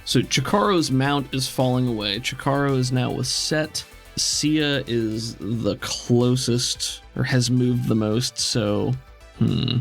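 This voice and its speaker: A man's voice: mid-range voice, steady tone, takes it slowly, vocal fry, faint music behind. A man working as game master, narrating a tabletop game with vivid description and casual asides.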